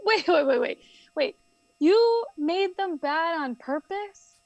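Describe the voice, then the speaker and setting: Woman acting a high-voiced, gentle young wife. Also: expressive, slow pace, no room sound, dull audio, faint music behind, full character voice. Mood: amused. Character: adult daughter and wife, guarded but affectionate. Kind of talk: radio drama acting.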